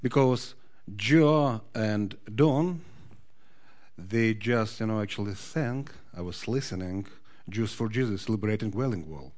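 A man's voice: fairly low pitch, animated, measured pace, a little mellow. A man from Odessa with a Ukrainian accent, speaking as guest speaker to a congregation in a friendly way, often asking the audience questions. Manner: friendly, asks the audience questions, sings a short Hebrew song. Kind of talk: sermon talk to a congregation.

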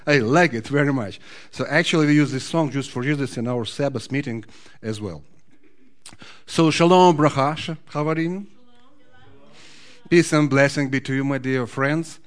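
A man with a Ukrainian accent, at an ordinary mid pitch, speaks to a congregation as guest speaker. He is friendly and keeps turning to the room with questions. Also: normal speed. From Odessa, Ukraine. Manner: friendly, asks the audience questions, sings a short Hebrew song. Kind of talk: sermon talk to a congregation.

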